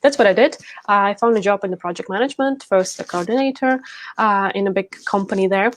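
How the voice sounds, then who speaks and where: A woman's voice: mid-range voice, brisk, slight background noise. A woman from Ukraine, speaking conversationally as an interview guest and coach, telling her own story.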